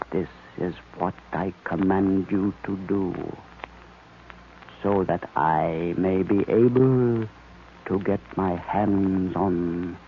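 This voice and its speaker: Slow, creaky radio villain. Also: male voice, low-pitched, exaggerated voice. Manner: slow, deliberate, menacing commands. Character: sinister male villain, a commanding, gloating hypnotist. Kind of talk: 1940s radio serial acting. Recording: noisy, tinny old radio recording.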